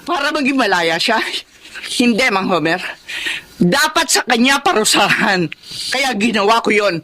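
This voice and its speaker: A woman's voice: a little high, lively intonation, normal speed, pushed and bright. A Filipino actress playing a wealthy, jealous, vengeful older woman betrayed in love, in an intense, accusatory radio drama style. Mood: defiant, bitter.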